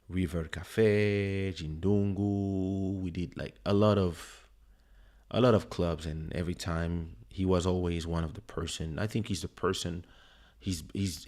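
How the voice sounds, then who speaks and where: A man with a French accent, a native French speaker, hosting a livestream listening party in relaxed, rambling casual chat with many fillers. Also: low-pitched. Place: home streaming setup. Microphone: studio desk microphone.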